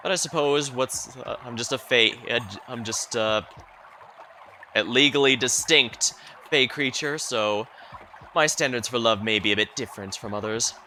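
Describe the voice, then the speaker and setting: A man's voice: mid-range voice, normal speed, sharp tone, slight background noise. A man giving live playthrough commentary on a video game, musing hesitantly and wandering into asides as he streams.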